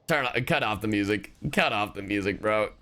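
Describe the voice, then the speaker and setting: A man live streaming a game, chatting casually in a slangy, sweary, expressive and excitable way. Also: fairly low pitch, a little fast, soft background music. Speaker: livestreamer.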